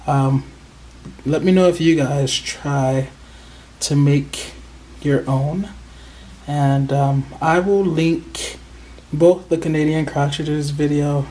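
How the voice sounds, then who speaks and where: A crochet channel host in a casual show-and-tell, sounding excited and proud and pausing often with hesitant ums. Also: medium pitch, a little hiss or hum. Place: indoors at a table.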